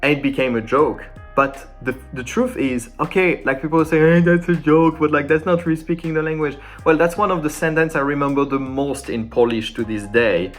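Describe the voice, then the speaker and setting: Animated man teaching French tips. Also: fairly high pitch, a little fast, few pauses, soft background music. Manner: animated and informal, acting out sample dialogues. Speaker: French language tutor. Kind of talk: casual explanatory lesson.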